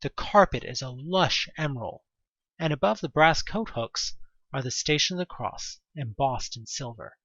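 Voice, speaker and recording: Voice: mid-range voice, expressive, normal speed, a bit bright, dry recording, dull audio. A narrator reading a scripted second-person story for an audio drama.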